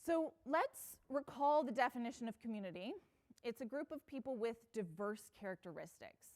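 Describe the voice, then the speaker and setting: A woman's voice: fairly high pitch, animated, moderate pace, darkish tone. A woman from the United States giving an earnest, personal conference talk on stage, with some fillers and restarts. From United States (Pacific Northwest). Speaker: conference speaker.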